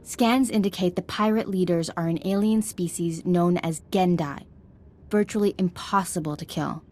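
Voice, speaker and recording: A woman playing an earnest, composed Jedi ally in a video game, formal and measured in manner. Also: lowish voice, a little mellow, natural voice. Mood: briefing. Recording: music behind the voice.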